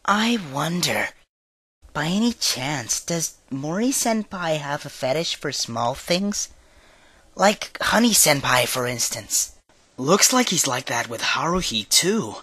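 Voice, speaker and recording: A woman's voice: deep voice, big pitch swings, slow pace, pauses often, a bit bright, husky, heavily acted. A woman voicing a teasing, mischievous teenage schoolboy with a mocking drawl, in a manga fan dub. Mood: musing.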